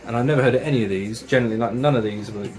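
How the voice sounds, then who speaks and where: A man talks through his metal tapes and records at a mid pitch, keen and chatty, sprinkling in slang and the odd swear word. Faint music plays behind him. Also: rapid-fire, low-quality recording. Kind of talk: casual review of records and tapes.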